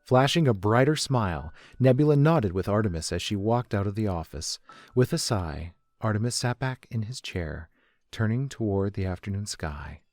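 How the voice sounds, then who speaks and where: A man's voice: lowish voice, animated, normal speed, darkish tone. A man narrating a scripted story as a reader, setting the scene between characters' dialogue. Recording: music under the voice.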